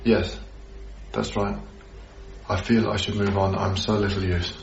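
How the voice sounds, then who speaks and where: An actor playing a troubled, haunted young lodger in a radio drama, speaking in a hesitant, tender way over music in a slightly muffled broadcast. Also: male voice, low voice, flat delivery, creaky, a little hiss or hum. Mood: awkward, subdued. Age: young adult. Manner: hesitant, brooding, halting. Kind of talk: radio drama acting, intimate monologue.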